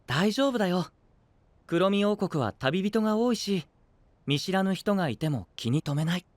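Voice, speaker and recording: A gentle young male knight in an anime-style audio drama. He sounds boyish, composed and friendly, recorded dry and close. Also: high voice, animated, normal speed, no room sound. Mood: breezy, friendly.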